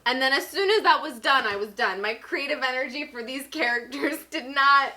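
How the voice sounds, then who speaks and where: A woman hosting a vlog, giving a theatrical, expressive reading of her own writing and chatting casually in a giggly way. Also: sits high, moderate pace. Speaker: vlog host.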